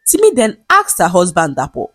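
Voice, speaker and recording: A woman storyteller narrates a folktale in a lowish, slightly husky voice. Her intonation rises and falls with plenty of movement, and the delivery is measured and expressive. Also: no echo. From Nigeria. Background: background music.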